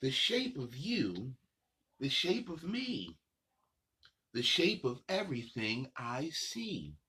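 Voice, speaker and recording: A man with a husky voice reads a picture book to children as a story time host. His pitch swings widely and playfully from phrase to phrase. He takes his time, stretching words out and chatting to the young listeners. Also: huge pitch swings, pushed and bright. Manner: drawn-out, playful phrasing addressed to kids. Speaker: host reading children's picture books aloud.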